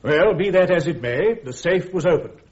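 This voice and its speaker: An actor playing a brisk army major prosecuting at a court-martial in a radio drama, with a British accent and formal, methodical questioning. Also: male voice, a little high, lively intonation, a little mellow, close to an everyday voice. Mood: brisk, probing. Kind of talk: full-cast radio drama acting. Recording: dull, muffled radio recording.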